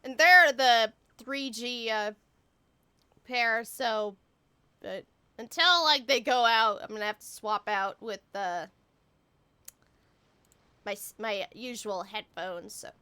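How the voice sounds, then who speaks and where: Female game streamer: casual, excitable chat, high-pitched, very bright, animated delivery. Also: takes it slowly, long pauses, clean tone. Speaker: live streamer. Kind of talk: casual gaming livestream chatter. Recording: faint game music behind. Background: game music.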